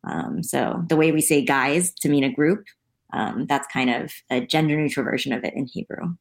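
Low-voiced woman explaining thoughtfully. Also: moderate pace, creaky. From United States. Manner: thoughtful, explanatory, frequent ums. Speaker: guest. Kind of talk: conversational interview.

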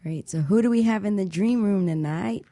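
Mellow-voiced female radio co-host. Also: mid-range voice, normal speed. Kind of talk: radio talk show.

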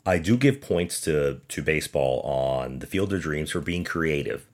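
Male podcast host, low voice, opinionated sports monologue, emphatic, sarcastic, rhetorical questions. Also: lively intonation, unhurried.

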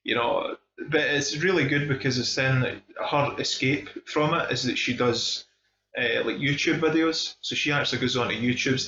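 A man's voice: medium pitch, pushed and bright. Enthusiastic Scottish man, echoey room. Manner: enthusiastic, emphatic. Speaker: film discussion podcast host. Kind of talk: casual film review chat. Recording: dull, echoey room recording.

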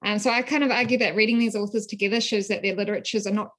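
A woman from New Zealand with a New Zealand accent, a scholar speaking in a thoughtful, measured way with frequent ums. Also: medium pitch, steady tone, a bit bright, husky. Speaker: guest scholar. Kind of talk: academic interview.

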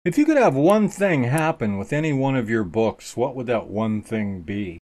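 A man interviewing an author, asking his questions with a lively, questioning lilt. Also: medium pitch, moderate pace, dry recording.

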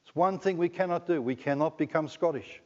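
A man's voice: fairly high pitch, a little fast. Australian man, middle-aged, chatty joking preacher, dull lo-fi sound, long hall echo. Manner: conversational, joking, asks the congregation questions. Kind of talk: sermon. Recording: dull lo-fi recording in a reverberant hall.

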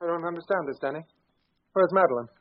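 An actor playing an agitated, domineering village doctor in a 1940s radio drama, with a clipped, urgent manner. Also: male voice, a little high, fast talker, close to an everyday voice. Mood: stunned, indignant. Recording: muffled 1940s radio broadcast, music behind.

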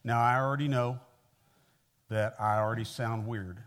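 An American man in his sixties speaks as a visiting pastor addressing a congregation. His voice sits at an average male pitch. He preaches conversationally, with a folksy, personal storytelling manner. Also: steady tone, normal speed, a bit bright. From Midwestern United States (Missouri). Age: 60s.

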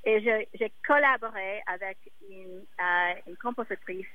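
A woman singer whose first language is English, speaking French haltingly and often pausing to search for words. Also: medium pitch, narrow, tinny audio. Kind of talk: interview in a second language.

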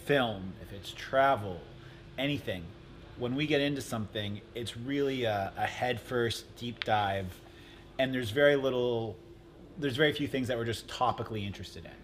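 A man's voice: medium pitch, normal speed, slight background noise. A man who teaches English, a guest on a vlog, talking eagerly and promoting his own channel. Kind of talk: casual chat.

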